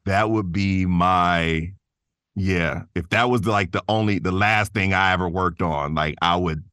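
Low-voiced man in casual podcast chat. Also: steady tone, unhurried, bright, forceful tone, clear, resonant tone, no echo, clean studio sound. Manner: informal, slangy, rambling. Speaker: podcast guest, voice actor and artist.

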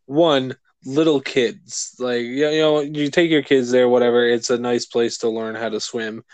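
A man co-hosting a casual podcast, rambling and animated, swearing freely. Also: medium pitch, moderate pace, dry recording. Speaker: podcast co-host. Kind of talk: casual chat.

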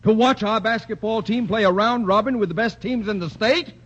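An actor playing a pompous, bossy middle-aged school principal in a 1940s radio sitcom. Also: male voice, high-pitched, a little fast, fairly bright tone, breathy edge. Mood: blustering. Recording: dull lo-fi vintage radio broadcast.

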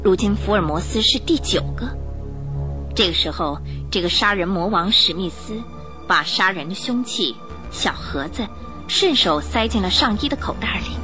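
A female narrator tells a radio drama in a mid-pitched voice. Her scripted storytelling is dramatic and animated, rising and falling freely. Music sits clearly behind her words. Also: moderate pace.

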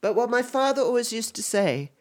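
An older woman from England talks warmly with her grown son and calls him darling. She slips into anecdotes about her younger years in a loose, chatty family conversation. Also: on the high side, big pitch swings, a little fast. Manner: affectionate, calls others darling, storytelling. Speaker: the other speaker's mother.

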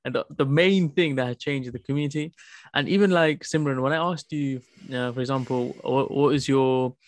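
A young man from Nepal talks in a casual podcast discussion. His voice sits at an average male pitch and sounds dry, with little room around it. He explains his reasoning point by point. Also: fairly quick, no echo. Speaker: podcast panelist.

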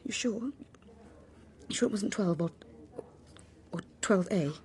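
A woman playing an anxious suburban mother in a radio drama, with a British accent and halting, repetitive, urgent speech. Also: mid-range voice, darkish tone, natural voice. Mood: anxious, insistent. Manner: halting, breathy, repeats words urgently. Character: anxious suburban mother of twins, increasingly panicked.

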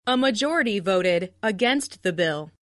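A woman reading short phrases for language learners, repeating each one as a clear model. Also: medium pitch, animated, normal speed, fairly bright tone. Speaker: language lesson speaker.

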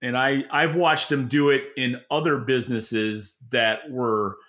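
A man's voice: mid-range voice, steady tone, measured pace, fairly bright tone. A man speaking as a podcast co-host, giving casual, opinionated market commentary in an emphatic way. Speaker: podcast co-host and industry analyst.

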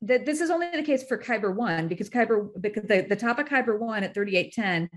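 A woman's voice: fairly high pitch, animated, fast. A woman on a podcast panel explaining things analytically and precisely in casual conversation. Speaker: podcast guest.

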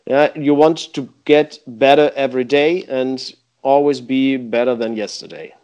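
A man, a youth football coach, answering interview questions in halting English with many pauses. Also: mid-range voice, fairly even intonation, darkish tone, smooth.

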